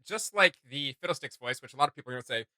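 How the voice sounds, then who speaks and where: A man hosting a reaction video with casual, halting commentary, often searching for words and repeating himself. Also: medium pitch, animated, bright, forceful tone, no room sound.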